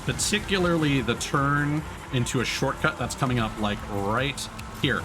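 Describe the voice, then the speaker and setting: A man's voice: medium pitch, lively intonation, normal speed, music in the background. A man livestreaming a video game, giving casual, explanatory commentary. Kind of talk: casual live gaming commentary.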